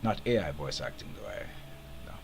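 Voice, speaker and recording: A man's voice: very deep voice, big pitch swings, brisk, creaky, music in the background. A Jamaican man streaming a game, chatting casually and opinionatedly. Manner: chatty, opinionated, laughs often. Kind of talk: casual live gameplay commentary.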